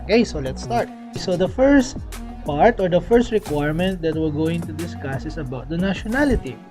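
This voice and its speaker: A man's voice: fairly high pitch, expressive, normal speed, music in the background. A young man from the Philippines explaining a scholarship in a casual vlog, talking in a chatty way with many fillers and frequent "okay"s, recorded indoors close to the camera. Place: indoors, small room.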